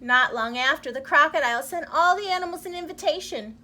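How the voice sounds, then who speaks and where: A woman reading a children's storybook aloud in an expressive, deliberate storyteller's manner. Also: high-pitched.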